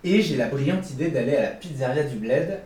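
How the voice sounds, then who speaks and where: A man's voice: medium pitch, expressive, brisk, a little mellow, far from the mic. Jokey man, roomy and off-mic. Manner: jokey, self-mocking, laughs often. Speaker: podcast co-host. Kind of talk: casual comic storytelling.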